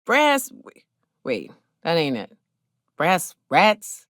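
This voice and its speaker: An actress voicing a brash, hard-drinking big game hunter in a video game, with folksy, slangy, sardonic talk. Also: female voice, low voice, huge pitch swings, very slow, fairly bright tone, dry recording, clean studio sound, full character voice. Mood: brash, tipsy. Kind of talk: video game character dialogue.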